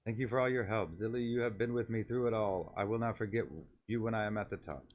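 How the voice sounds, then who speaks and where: A man voicing an excitable, hopeful catfish-like merchant creature from a game, repeating his phrases eagerly. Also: on the low side, fast talker, narrow, tinny audio, lightly acted. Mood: grateful. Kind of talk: voiced reading of game dialogue.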